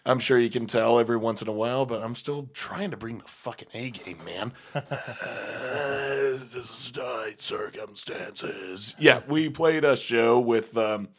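Young man, slightly raspy, casual rambling chat, laughs a lot, host. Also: medium pitch, a little slow. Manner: laughs often, rambling with many fillers. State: slightly raspy voice after a gig. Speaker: podcast co-host and musician.

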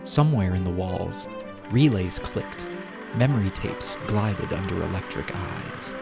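A man's voice: sits low, animated, measured pace, gravelly, loud music behind the voice. A man speaking as a podcast host and narrator, presenting literature and audio drama.

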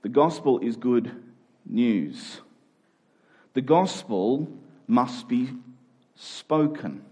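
A man's voice: medium pitch, lively intonation, drawn-out words, pauses often, a little mellow, breathy edge. A man preaching a conversational sermon in an informal but emphatic way, repeating key phrases to drive them home.

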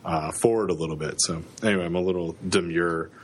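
A man co-hosting a podcast in casual chat, halting with many fillers and restarts, sniffling from a cold, close to the microphone. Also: low voice, fairly even intonation, slight background noise. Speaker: podcast co-host. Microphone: close studio microphone.

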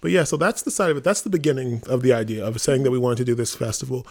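A man with a mid-pitched voice talks very quickly in a casual podcast discussion. He sounds earnest and emphatic as he hosts for his theater company. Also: darkish tone.

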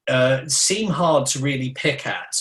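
A man's voice: medium pitch, animated, unhurried, fairly bright tone. A man from the UK giving a fluent, lecturing talk as a panelist. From United Kingdom. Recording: echoey room.

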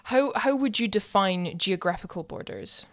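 A woman's voice: mid-range voice, lively intonation, moderate pace. Chatty woman hosting a podcast. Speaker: podcast host. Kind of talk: conversational podcast chat.

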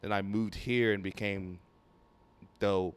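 A man from Nigeria, a comedian telling stories in a rambling, animated way during a casual podcast chat. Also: fairly low pitch, slow, smooth, no echo. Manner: rambling, animated storytelling with quoted voices. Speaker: comedian guest.

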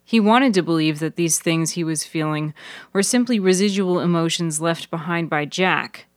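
A woman reading scripted literary narration in a measured, deliberate manner, as narrator of a serial fiction podcast. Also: fairly low pitch, no room sound, studio quality.

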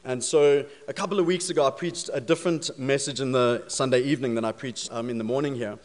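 A man's voice: mid-range voice, fairly even intonation, fast. A man with a South African accent preaching a sermon in a church sanctuary, telling stories with humor before moving into prayer. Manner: storytelling, jokey then prayerful. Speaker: pastor. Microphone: handheld or stage microphone. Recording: long hall reverb in sanctuary.

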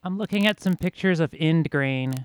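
A man's voice: on the high side, moderate pace, clear, resonant tone. A man chatting casually as a player in a game, with a curious, questioning way of talking. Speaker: player on an RPG podcast. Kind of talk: casual chat.

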